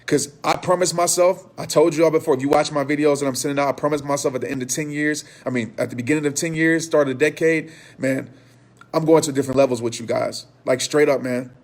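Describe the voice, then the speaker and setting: A man in his thirties giving an impassioned motivational talk to viewers, hoarse from losing his voice, with a repetitive preaching cadence. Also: fairly high pitch, steady tone, fast talker. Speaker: motivational speaker.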